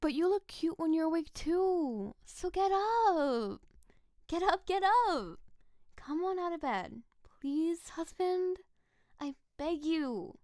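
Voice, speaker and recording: A woman's voice: very high-pitched, expressive, slow pace, darkish tone, dry recording, clean studio sound, heavily acted. A woman playing a playful, possessive snow-spirit wife in an audio roleplay, coaxing and doting in a theatrical way. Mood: doting, pleading. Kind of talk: scripted audio roleplay addressed to the listener.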